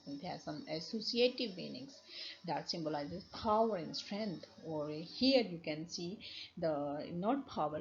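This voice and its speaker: A woman lecturer with a Pakistani English accent, a native Urdu speaker, explaining slides slowly with many fillers and restarts. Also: lowish voice, gravelly. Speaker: university lecturer. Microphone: voice-over on slides. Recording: dull lo-fi recording with faint noise.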